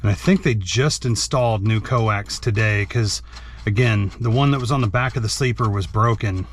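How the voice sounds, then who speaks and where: A man's voice: fairly low pitch, normal speed, slight background noise. A man giving a casual hands-on tutorial in a plainspoken way, thinking aloud as he works. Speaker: host and truck driver demonstrating an installation.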